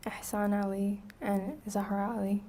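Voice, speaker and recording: Soft-spoken young woman, flat and quiet. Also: mid-range voice, a little slow, slight background noise. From Iraq. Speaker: witness. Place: courtroom witness stand.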